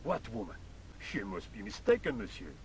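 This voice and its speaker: A man's voice: lowish voice, sing-song, normal speed, a little hiss or hum. An actor playing a vain Frenchman who smokes, speaking with a French accent in a haughty, theatrical way. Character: smoking Frenchman, vain, easily flattered. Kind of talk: game voice acting.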